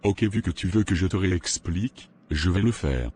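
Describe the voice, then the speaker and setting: A man playing a haughty, boastful rich bachelor in an animated drama, with a pompous manner. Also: low voice, moderate pace. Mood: smug. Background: music.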